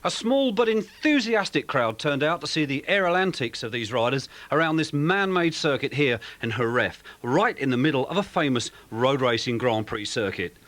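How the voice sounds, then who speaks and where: A man commentating a motocross race in an energetic way that picks up as the action builds. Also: fairly high pitch. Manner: energetic, rising excitement on race calls.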